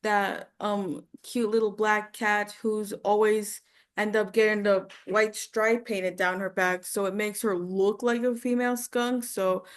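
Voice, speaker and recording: A woman's voice: mid-range voice, flat delivery, unhurried. A woman studying voice acting, giving casual answers in a hesitant way as she thinks aloud. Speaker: voice acting student. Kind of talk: casual conversational answers in a lesson.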